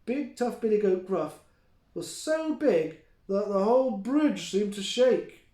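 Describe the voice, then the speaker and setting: A man reading a story to young children in an expressive, playful and deliberate storytelling style. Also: sits high. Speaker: early years teacher reading a story. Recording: roomy, echoing indoor sound.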